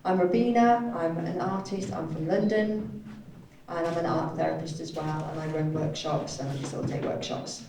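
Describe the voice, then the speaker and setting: A woman's voice: sits low, fairly even intonation, normal speed. A woman working as a live sign language interpreter, voicing speakers in a panel discussion. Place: echoey event room, indoors. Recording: very echoey hall, distant mic, faint noise.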